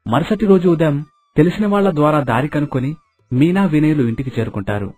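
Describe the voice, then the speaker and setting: A man's voice: fairly high pitch, expressive, brisk, warm tone, breathy edge. A man reading out a scripted story as a storyteller. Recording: narration over background music.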